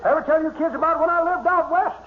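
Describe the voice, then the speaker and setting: A man's voice: extremely high pitch, quick pace. An actor playing a boastful, spry old man spinning folksy tall tales in 1950s radio comedy style. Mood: cheerful, folksy. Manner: folksy, drawling yarn-spinning. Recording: tinny, noisy old radio recording.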